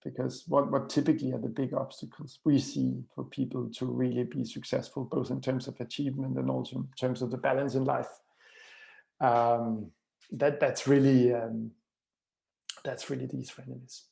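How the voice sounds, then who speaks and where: A man's voice: mid-range voice, little pitch movement, normal speed, creaky, mellow. A man with a Danish accent, a book author speaking as a webinar guest, talking thoughtfully with many fillers and repeated words. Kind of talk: webinar talk, answering questions and reading chat.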